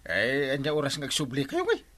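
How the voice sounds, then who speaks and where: An actor playing a fretful, exasperated village captain in a radio comedy drama, with an Ilocano accent. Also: male voice, medium pitch, wildly swooping pitch, brisk, fairly bright tone.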